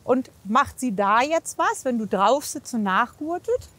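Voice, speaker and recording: A woman's voice: on the high side, lively intonation, unhurried, a bit bright. A woman working as a horse physiotherapist, chatting casually and encouragingly outdoors, with colloquial praise and coaxing. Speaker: horse physiotherapist assessing a rider and mare.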